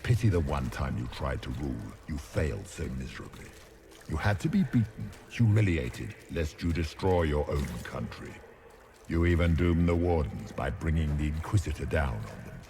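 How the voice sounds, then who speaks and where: A cavernous, bottomless demon voice, dragging out each cruel jab with slow contempt. Steady game ambience sits under it. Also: male voice, full character voice. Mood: taunting. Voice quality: deep, slow and menacing. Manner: mocking, cruel, deliberate. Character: menacing demon taunting with fears. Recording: game audio with ambient noise.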